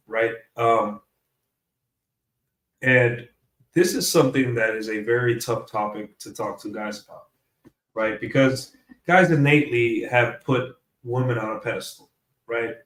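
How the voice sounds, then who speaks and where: Man, congested from a cold, nasal stuffed-up voice, middle pitch, rambling livestream chat, desk mic. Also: a bit bright, slight background noise, low-quality recording. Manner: rambling, keeps asking "right?". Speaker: streamer. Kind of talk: casual livestream monologue. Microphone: desk mic through an audio interface.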